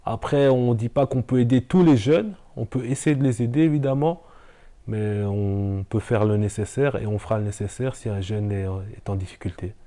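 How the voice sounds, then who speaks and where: A man speaks in a very dark, mid-pitched voice. He answers an interview off the cuff as a support worker. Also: normal speed.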